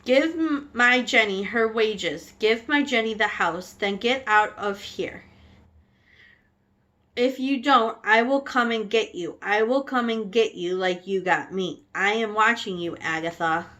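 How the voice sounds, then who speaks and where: A ghostly spirit speaks slowly and threateningly in a raised, high voice, as a woman puts it on. The words are drawn out and menacing. It is a storybook reading. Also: sharp tone, muffled recording. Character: ghostly voice of a dead man, threatening.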